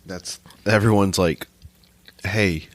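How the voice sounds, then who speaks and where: A man in his thirties co-hosting a podcast in casual banter, with a deep, congested voice and a dry, joking manner. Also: steady tone, no room sound. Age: 30s. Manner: dry joking, quick asides. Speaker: podcast co-host.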